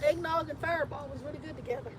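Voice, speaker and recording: A woman's voice: high voice, racing. A woman with a Southern US accent co-hosting a casual outdoor live stream, teasing and laughing often. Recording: noisy outdoor stream audio.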